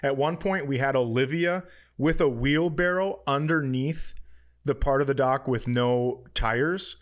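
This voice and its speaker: A man's voice: medium pitch, measured pace. Middle-aged man swapping profane comic stories. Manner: profane, animated anecdotes with acted-out quotes. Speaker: podcast co-host. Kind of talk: casual comic storytelling chat.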